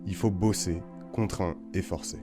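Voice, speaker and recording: A male narrator reads his script in a very low voice with an even delivery. Music plays clearly beneath him throughout. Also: normal speed. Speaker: podcast narrator. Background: music bed.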